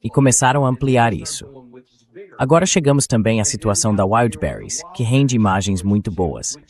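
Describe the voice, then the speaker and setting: A man's voice: on the low side, lively intonation, moderate pace. A man hosting a political discussion in a translated voice-over. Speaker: host.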